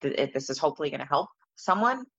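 A woman with a raspy voice interviewing a guest in a relaxed, questioning way. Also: low voice, quick pace, muffled recording. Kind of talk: casual interview.

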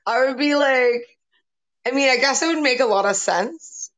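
A woman's voice: sits high, moderate pace, fairly bright tone, low-quality recording. A woman in her late twenties hosting a casual live chat, teasing and sarcastic, swearing freely. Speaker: podcast host.

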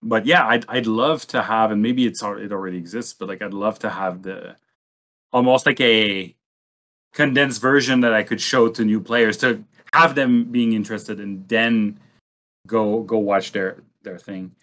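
A man in a casual discussion, speaking haltingly and thinking aloud as a panelist. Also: fairly low pitch, fairly even intonation, fairly bright tone.

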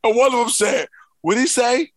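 A man from Philadelphia with a Philly accent, co-hosting a casual podcast and telling stories with big, loud laughs. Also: very high-pitched, moderate pace, no echo. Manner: animated storyteller, laughs loudly at his own stories. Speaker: co-host.

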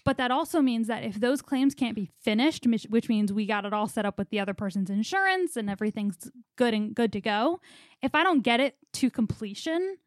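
A woman's voice: a little high, lively intonation, fairly quick, no echo, clean studio sound. A young woman co-hosting a casual podcast, talking at length in a rambling, anxious way. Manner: chatty, rambling, anxious storytelling. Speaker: podcast co-host. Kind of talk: casual chat.

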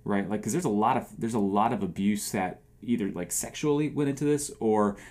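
A man co-hosting a casual church discussion, talking in a rambling way with lots of "like" and "you know" and trailing off softly. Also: lowish voice, brisk. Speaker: podcast co-host.

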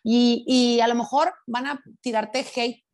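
A woman from Sinaloa, Mexico, with a Sinaloan accent, talking frankly and with lots of slang in a casual conversation. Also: a little high. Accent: Sinaloan Mexican Spanish. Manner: fast, animated, slangy and frank.

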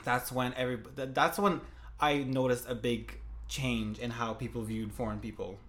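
A young adult from a Russian-speaking family in Europe who moved abroad at six, talking in an animated, rambling way in casual chat. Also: mid-range voice, moderate pace. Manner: animated, rambling, many fillers. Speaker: podcast co-host, younger sibling.

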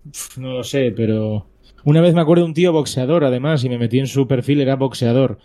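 A man from Spain, a podcast guest chatting casually, with relaxed, hesitant speech full of fillers. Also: medium pitch, fast talker.

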